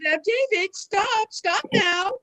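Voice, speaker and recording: A woman playing a doting, credulous mother who speaks gently and soothingly. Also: very high-pitched, slow pace, heavily acted.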